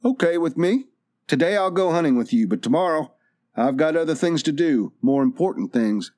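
A male narrator puts on a stubborn wandering stranger from an adventure tale. The man's pitch sits raised and swings about, dry and courteous on top, proud and defiant underneath. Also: expressive, normal speed, a little mellow, lightly acted. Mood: firm. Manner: polite but defiant, dry.

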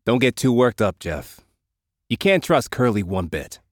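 A man's voice: mid-range voice, very animated, measured pace, dry recording, clean studio sound. A man voicing a cool, aloof, self-interested young gang leader in an audiobook. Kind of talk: audiobook character dialogue.

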